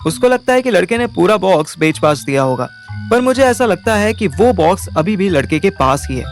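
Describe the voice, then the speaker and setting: A man's voice: high voice, big pitch swings, brisk, faint music behind. A man playing a young schemer who narrates an audio drama in a wry, colloquial way with an Indian accent. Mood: explaining, placating. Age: young adult. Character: young male schemer narrating his detective venture, wry, placating. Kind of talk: first-person audio drama narration and dialogue in Hindi-English mix.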